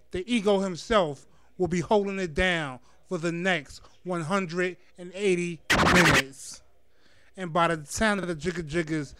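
A man's voice: high-pitched, clean tone, no echo. A man hosting an internet radio show, announcing in a slangy hype-man style from a home studio. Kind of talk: hype announcing over DJ set. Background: faint music.

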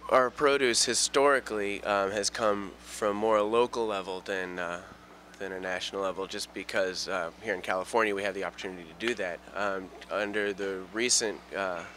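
A man managing a market, answering interview questions with many pauses and fillers. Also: on the low side, a bit bright, a little hiss or hum.

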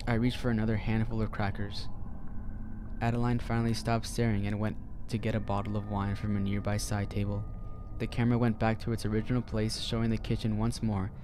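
A man narrating a horror story in a calm, measured storytelling voice. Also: lowish voice, background noise.

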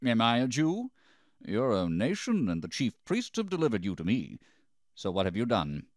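Roman governor for a scripture drama, played by a male reader: commanding but uneasy, declamatory, wide swings of pitch, close dry sound. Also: sing-song, moderate pace, no echo. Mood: indignant. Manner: declamatory, questioning.

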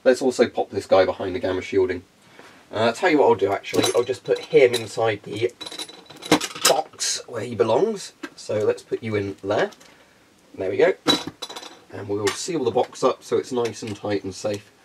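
A man's voice: lowish voice, animated, moderate pace, background noise. Phlegmy young man demoing gear. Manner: chatty, rambling, frequent ums. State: congested, phlegmy from a cold. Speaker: hobbyist video host. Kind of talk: casual hands-on demonstration. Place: carpeted room indoors.

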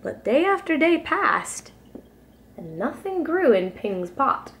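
A young woman reading a children's picture book aloud in an expressive, careful storytelling manner, as a preschool teacher does. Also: high-pitched, takes it slowly, a little hiss or hum. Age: young adult.

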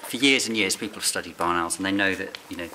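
A middle-aged man, a licensed bird ringer, calmly explaining his fieldwork while handling birds in a farm barn. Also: deep voice, quick pace. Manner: calm, quiet, hands-on explaining with fillers. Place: open farm barn on straw, outdoors air. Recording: noisy outdoor camera recording.

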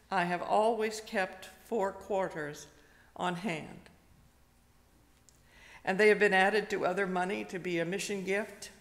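An older woman, a retired pastor, preaches slowly and gently, telling a personal story with pauses, at a pulpit microphone in a church. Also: mid-range voice. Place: church sanctuary, indoors. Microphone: stand microphone at a pulpit. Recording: long reverb of a church hall.

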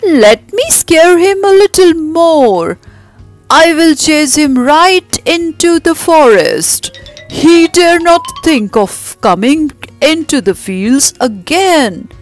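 A woman's voice: high-pitched, lively intonation, takes it slowly, faint music behind, voice pushed up. A woman voicing a boastful, foolish male donkey in a children's storybook, self-important and exclaiming. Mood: scheming confidence.

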